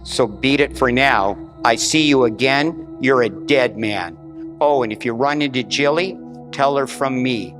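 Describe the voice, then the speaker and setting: A man's voice: mid-range voice, lightly acted. Man acting a menacing gangster, music behind. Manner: curt, threatening, slow drawn-out delivery. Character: menacing 1940s gangster, curt tough-guy man. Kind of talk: 1940s radio crime drama acting.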